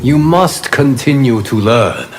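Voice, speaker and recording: A man playing a calm, wise fire god and martial arts mentor in a video game, speaking formally and gravely. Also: mid-range voice, takes it slowly, slight background noise. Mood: calm, instructive. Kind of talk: video game character dialogue.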